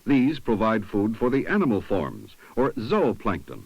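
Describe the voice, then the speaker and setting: A man reading scripted 1970s documentary narration in a measured, instructive way, on an old film soundtrack. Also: fairly low pitch, very animated, breathy edge, no room sound, dull audio.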